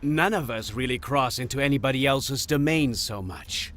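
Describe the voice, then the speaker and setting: A man playing a sly, persuasive god of deception in a fantasy audio drama, talking in a deliberate, theatrical way. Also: mid-range voice, fairly bright tone, natural voice. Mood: matter-of-fact. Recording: music under the voice.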